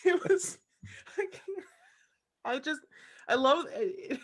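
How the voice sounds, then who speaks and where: A woman from an audio drama cast, chatting casually in a giggly, animated manner. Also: high voice, slow. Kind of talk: casual group chat.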